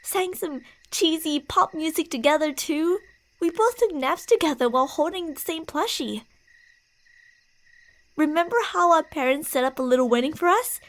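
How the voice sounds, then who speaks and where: A woman's voice: high voice, expressive, normal speed. A woman playing a possessive, delusional young yandere bully in an ASMR roleplay, teasing, insistent and emotionally volatile.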